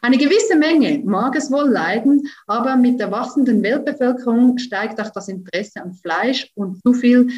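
A young woman from Switzerland with a Swiss German accent, presenting her research over a video call in a lively, playful way. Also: on the high side. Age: young adult. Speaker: science slam presenter. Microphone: video call, computer mic. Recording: roomy, distant, dull sound.

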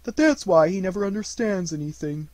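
A man's voice: high-pitched, lively intonation, fairly quick, darkish tone, no echo, voice pushed up. A man voicing a friendly, cheerful, simple-minded toy bear in a storybook, with an earnest and naive manner.

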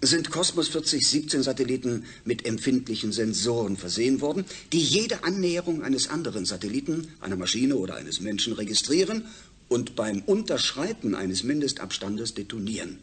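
An actor playing a suave, self-assured space project leader in a German radio drama, formal and measured with emphatic pauses. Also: male voice, medium pitch, big pitch swings, a bit bright, slightly rough, heavily acted. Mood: grave, explanatory.

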